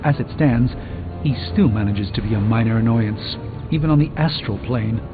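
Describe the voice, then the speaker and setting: An actor playing a pompous middle-aged sorcerer in a video game, speaking formally and precisely with dry, deadpan delivery. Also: male voice, mid-range voice, moderate pace, lightly acted. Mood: haughty, pleased. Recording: muffled phone-like audio under loud music.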